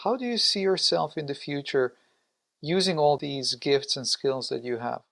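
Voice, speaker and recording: A man's voice: on the high side, normal speed, creaky, a bit bright. A man interviewing a guest on a podcast, asking open, conversational questions.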